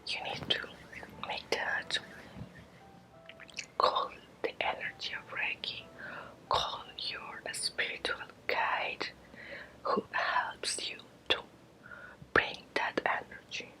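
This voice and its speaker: Whispering woman teaching slowly in ASMR style. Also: pushed and bright, low-quality recording. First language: Spanish. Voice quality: breathy whisper. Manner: slow, halting, short phrases with long pauses. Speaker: Reiki teacher. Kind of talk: ASMR whispered guided lesson.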